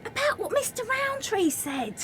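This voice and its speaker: A woman's voice: extremely high pitch, big pitch swings, moderate pace, a bit bright, heavily acted. A woman playing a touchy, gossip-loving wartime factory worker in an amateur radio play, with a knowing manner. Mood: gossipy. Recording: clear background noise, clicks.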